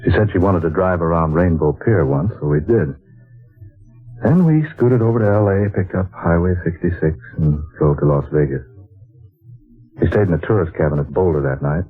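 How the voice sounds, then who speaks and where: Low-voiced writer telling his travel story, measured and wistful, tinny old radio sound w/ music. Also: husky. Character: Scott, an earnest male writer narrating his journey. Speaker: narrator and lead character. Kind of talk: 1940s radio drama acting.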